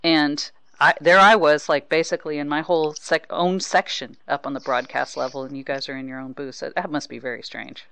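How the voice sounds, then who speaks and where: A woman hosts a casual sports interview in a clear, smooth voice. She talks conversationally and with ready approval, and the sound is close and dry. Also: low voice, fairly even intonation, brisk, hardly any pauses, a bit bright, no room sound. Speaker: sports podcast host.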